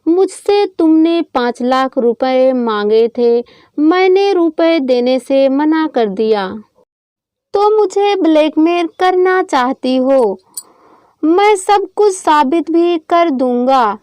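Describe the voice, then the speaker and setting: Casting: woman reading as callous young college man, cold menace, high voice, slow deliberate threats, audio storybook. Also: animated, darkish tone, dry recording. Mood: threatening.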